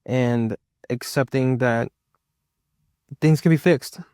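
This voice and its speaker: A man's voice: mid-range voice, slow pace, darkish tone, clean tone, dry recording, clean studio sound. A man of about thirty chatting casually to his viewers as a vlogger, rambling and repeating himself for emphasis. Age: around 30. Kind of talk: casual personal chat to viewers.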